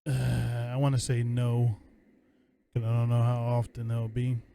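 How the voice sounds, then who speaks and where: A man's voice: medium pitch, completely flat delivery, unhurried, vocal fry, warm tone, a little hiss or hum. A man casually commenting on a horror game as he plays, musing aloud in a laid-back way. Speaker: gameplay streamer.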